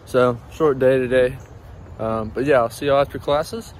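A man's voice: mid-range voice, normal speed. Young man, college athlete, easygoing handheld vlog, plenty of "uh" and "y'all", noisy outdoor backdrop. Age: young adult. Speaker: college baseball player vlogging. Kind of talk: casual vlog narration. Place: outdoors on campus and in a campus restaurant. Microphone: phone camera held at arm's length.

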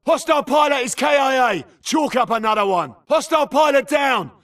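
A man's voice: extremely high pitch, animated, a little fast, a bit bright, recorded from a distance. A man playing an urgent, tough infantry soldier in a video game, barking clipped combat callouts. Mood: triumphant. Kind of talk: shouted military combat barks.

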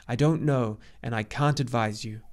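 A man's voice: mid-range voice, normal speed, darkish tone, lightly acted. A man voicing a very old, grave centaur in an audiobook story, with an emphatic, exclamatory manner. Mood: calmer, grave. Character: very old agitated centaur messenger, grave and weary.

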